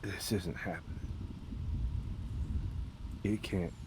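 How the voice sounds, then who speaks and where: An actor playing a gruff middle-aged ex-soldier stepfather, broken with grief, in a video game. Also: sits low, fairly even intonation, noisy background. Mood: anguished. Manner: anguished, slow and broken.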